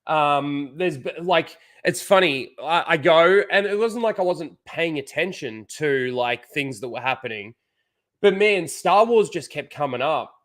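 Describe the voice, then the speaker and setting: A man's voice: a little high, animated, unhurried, fairly bright tone. Stuffy-nosed man rambling on a livestream. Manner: rambling, full of "like" and restarts. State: head cold, blocked nose. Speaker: livestream host. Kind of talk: casual livestream chat.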